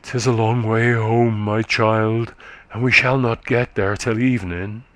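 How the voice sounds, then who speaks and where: A man voicing a weary, faint middle-aged countrywoman who is exhausted and short of breath, speaking slowly and low. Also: steady tone, no echo, close to an everyday voice. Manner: slow, low, sorrowful.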